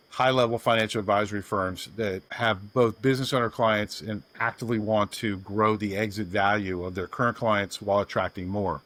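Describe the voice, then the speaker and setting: A man's voice: on the low side, fairly bright tone. A man hosting a podcast in a folksy, rambling way, introducing his guests. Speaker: podcast host.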